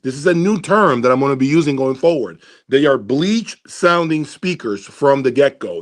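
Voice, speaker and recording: A man hosting an audio gear review, talking casually and emphatically to viewers, deliberate and repeating his points for emphasis. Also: medium pitch. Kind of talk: casual opinionated commentary to viewers.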